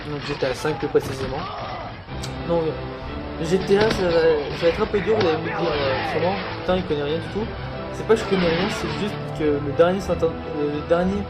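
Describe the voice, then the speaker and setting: A man's voice: a little high, poor audio. A sixteen-year-old male, giving casual, stumbling and apologetic commentary on a video game. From Paris, France. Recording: loud music and game gunfire behind. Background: loud music, video game gunfire.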